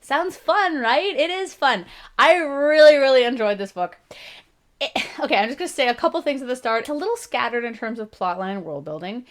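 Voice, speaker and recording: A Canadian woman in her thirties with a slightly gravelly voice, hosting a casual book review channel, chatty and self-deprecating. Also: high-pitched, animated, a little fast. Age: 30s. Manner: chatty and self-deprecating, with frequent laughs and restarts.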